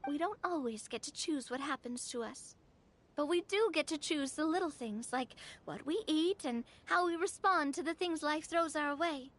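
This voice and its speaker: A woman's voice: high voice, moderate pace, a bit bright. A woman voicing a cheerful, optimistic young woman game character who talks in a bubbly, chatty way. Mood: reassuring. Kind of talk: video game voice acting.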